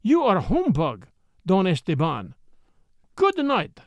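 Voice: medium pitch, wildly swooping pitch, unhurried, no echo. A narrator voicing a pert, childlike young Mexican woman in a storybook, speaking broken English with a Spanish accent and a teasing lilt. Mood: wary, scolding. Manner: saucy, lilting, childlike.

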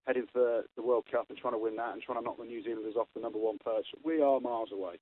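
A man's voice: medium pitch, steady tone, racing, slight background noise. A man, a retired rugby player giving blunt, opinionated views as a radio guest. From England. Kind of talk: radio interview, call-in. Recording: tinny phone line.

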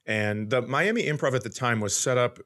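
A man sharing comic anecdotes in casual podcast chat, with a halting, wry way of talking. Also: medium pitch, lively intonation, sharp tone. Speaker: comedian, podcast co-host.

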